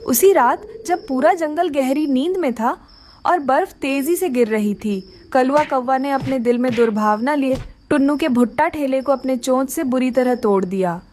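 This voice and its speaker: Female narrator for a kids' cartoon fable, high lilting read over faint noise. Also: moderate pace, darkish tone. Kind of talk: scripted children's story narration.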